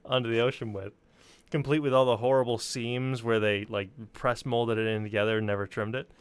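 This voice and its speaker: A man's voice: mid-range voice, little pitch movement, a little fast, clean tone. A man telling anecdotes in casual chat, rambling informally and swearing freely. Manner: informal, profane, rambling storyteller.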